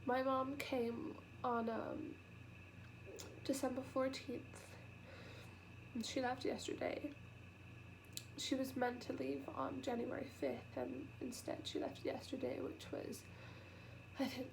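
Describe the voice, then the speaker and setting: Young woman, stuffy nasal cold voice, somewhat high, hesitant and soft, faint hiss behind. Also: level tone, creaky. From United Kingdom. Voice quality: sniffly, nasal. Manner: halting and soft-spoken, with many pauses and fillers. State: congested with a cold, close to tears. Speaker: vlogger. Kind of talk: emotional personal vlog. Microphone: camera.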